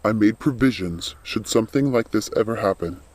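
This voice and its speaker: A man playing a loyal undead skeletal servant in a storybook, speaking in a grave, earnest, measured and protective way. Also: fairly low pitch, darkish tone, no room sound, close to an everyday voice. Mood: grave, urgent.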